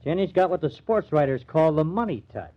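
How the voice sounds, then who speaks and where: Lively, streetwise ballplayer acted by a man. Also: a little high, fairly quick, lightly acted. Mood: teasing. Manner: slangy, teasing, suspicious. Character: streetwise, skeptical male ballplayer, needling his teammate. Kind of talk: old-time radio crime drama acting. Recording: dull, band-limited old radio recording.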